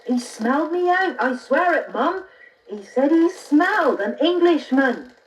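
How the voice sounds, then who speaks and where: Brief: woman doing an excitable storybook boy, lively high voice, a bit off-mic. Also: measured pace, recorded from a distance, slight background noise, rough recording. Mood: alarmed. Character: young boy Jack, eager and excitable.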